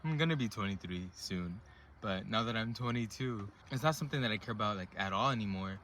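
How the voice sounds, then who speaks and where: A man's voice: lowish voice, brisk, slight background noise. A man in his twenties casually telling personal stories to camera on his vlog, rambling with lots of fillers. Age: 20s. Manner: relaxed, rambling, filler-heavy talk. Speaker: vlogger.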